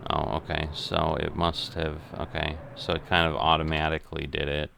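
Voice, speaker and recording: A middle-aged man giving unscripted simulation game commentary, thinking aloud in a methodical, halting way. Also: very deep voice, completely flat delivery, gravelly, pushed and bright, a little hiss or hum. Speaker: gaming video host.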